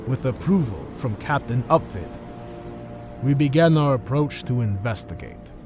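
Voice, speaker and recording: Guy acting as a haunted sailor telling a grave sea story, formal and measured, voice really rises and falls, music behind. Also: medium pitch, expressive, lightly acted. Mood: grave, uneasy. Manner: measured, solemn, formal phrasing. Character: haunted sailor, first mate, recounting a sea tale. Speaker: narrating character in an audio drama. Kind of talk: dramatic scripted first-person storytelling.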